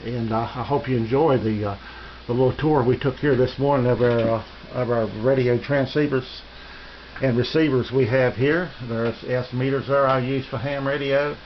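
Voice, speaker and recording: An American man giving a casual, unscripted tour of his radio room in a halting, rambling, folksy and polite way. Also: medium pitch, fairly even intonation. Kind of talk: casual unscripted show-and-tell narration. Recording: dull lo-fi home video, faint noise.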